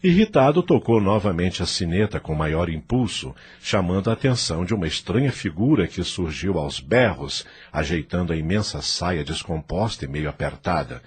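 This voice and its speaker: Male narrator, Brazilian accent, low register, animated dramatic delivery for scripted audio-novel storytelling. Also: moderate pace, hardly any pauses, slightly rough. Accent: Brazilian Portuguese. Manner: theatrical, literary storytelling.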